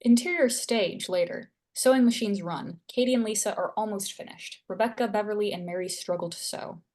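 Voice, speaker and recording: A woman's voice: mid-range voice, a little fast, a little mellow. A woman narrating stage directions as scripted narration at a screenplay table read.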